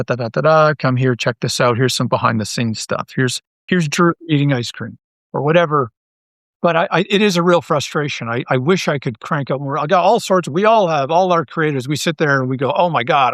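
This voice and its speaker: A man's voice: a little high, a bit bright, dry recording. A man speaking casually as a podcast guest and creator, self-deprecating and often repeating his words. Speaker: interview guest, audio drama creator.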